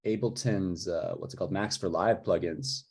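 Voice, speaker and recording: A man talking informally about his work as a music composer, with a husky voice. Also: low-pitched, normal speed, darkish tone. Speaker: music composer for audio fiction.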